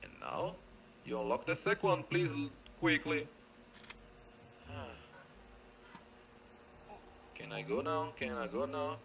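A man's voice: medium pitch, animated, slow pace, vocal fry, long pauses, a bit bright, slight background noise, rough recording. A man giving casual, off-the-cuff game commentary, muttering playfully to himself, repeating phrases and exclaiming as he plays. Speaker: gamer recording a let's play.

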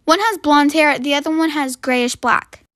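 A young woman acting out a roleplay story with characters, in a dramatic, expressive manner. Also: sits high, normal speed, no room sound, studio quality. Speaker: storyteller voicing all roles. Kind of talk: acted roleplay of animated toy-game characters.

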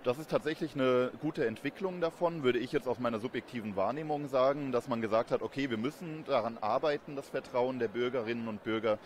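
A man in his thirties giving measured, explanatory answers as an interviewed academic expert. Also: mid-range voice, a little hiss or hum. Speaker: interviewed expert, communication professor. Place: busy event courtyard in daylight. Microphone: handheld reporter microphone held close. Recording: outdoor urban ambience behind voice.

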